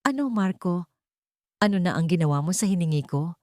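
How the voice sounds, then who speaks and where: A woman's voice: lowish voice, lively intonation, brisk, darkish tone, no echo, clean studio sound, close to an everyday voice. A woman narrator with a Filipino accent voicing a stern, accusing male village captain in an audiobook. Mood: taunting. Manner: commanding, taunting. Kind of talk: audiobook character dialogue.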